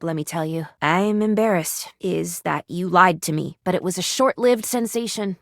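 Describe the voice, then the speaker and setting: A woman's voice: medium pitch, a bit bright, no echo, clean studio sound, lightly acted. A woman voicing an eager, theatrical first-aid kit in a video game, prim and chirpy with old-fashioned, formal phrasing. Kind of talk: video game character voice acting.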